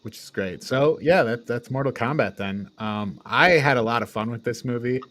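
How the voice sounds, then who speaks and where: A man's voice: mid-range voice, moderate pace, fairly bright tone. A man co-hosting a casual, informal review discussion, recapping things in an offhand way. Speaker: podcast co-host reviewing films.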